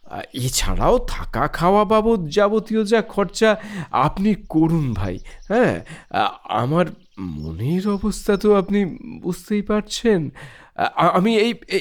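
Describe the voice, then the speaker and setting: Nervous old father, slow stammery apologetic talk, highish voice that wavers up n down, audio drama. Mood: troubled, pleading. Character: anxious, hesitant elderly father, polite and deferential. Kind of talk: Bengali audio horror story acting.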